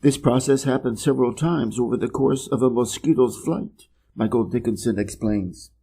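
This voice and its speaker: A man from Wisconsin with an American Midwest accent, reading an article slowly and carefully for English learners. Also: medium pitch, mellow. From Wisconsin, USA. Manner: measured and deliberate, clearly pronounced. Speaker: reader of an English learning channel. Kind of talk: slow, careful reading aloud.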